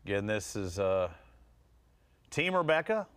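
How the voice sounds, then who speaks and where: A man's voice: lowish voice, sing-song, a little slow, fairly bright tone, clear, resonant tone. A middle-aged man hosting a fishing show from a TV studio, chatting casually and reading out catch alerts. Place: TV studio set.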